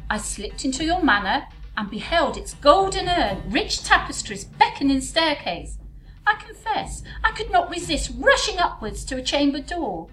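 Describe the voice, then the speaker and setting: A high, highly expressive young gentlewoman played by a woman from a theatrical folk tale. She is bold and formal, weighting each old-fashioned phrase for drama. Faint music plays behind her. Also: moderate pace, rough recording. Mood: pointed, suspenseful. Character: bold young gentlewoman bride recounting a sinister dream.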